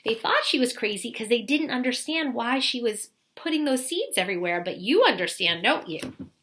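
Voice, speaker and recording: A woman's voice: fairly high pitch, lively intonation, fairly bright tone. A woman reading a children's picture book aloud expressively, in the manner of a teacher talking to young listeners. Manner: pauses to ask young listeners questions.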